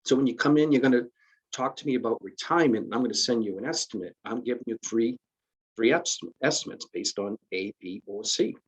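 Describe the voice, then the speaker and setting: Husky-voiced man in his fifties explaining. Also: mid-range voice, brisk, rough recording. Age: 50s. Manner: conversational, many restarts and fillers, explains step by step. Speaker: retirement system administrator. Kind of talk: casual explanatory presentation. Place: virtual benefits fair call. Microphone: video call.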